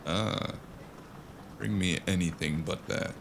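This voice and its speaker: A man playing a world-weary, haunted noir smoker in an audio drama, brooding and sardonic, speaking in a measured way. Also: deep voice, gravelly, noisy background, full character voice. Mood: wry, weary. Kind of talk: noir audio drama with first-person narration. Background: loud cinematic music.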